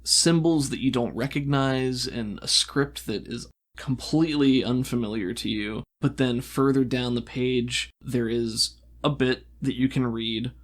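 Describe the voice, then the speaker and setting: A game master with a mid-pitched voice narrates a tabletop adventure. The delivery is improvised and conversational, halting now and then for an aside before picking the scene back up.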